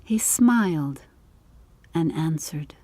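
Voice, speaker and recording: A woman narrating a scripted audiobook story in a measured, deliberate, literary manner. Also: sits low, expressive, mellow, no echo.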